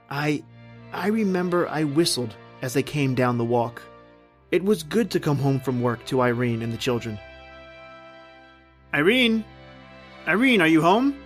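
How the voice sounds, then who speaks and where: A man's voice: mid-range voice, close to an everyday voice. A man playing a tormented, lost husband recounting his doom in a brooding, dramatic old-time radio horror style. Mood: wistful, then cheerful, casual.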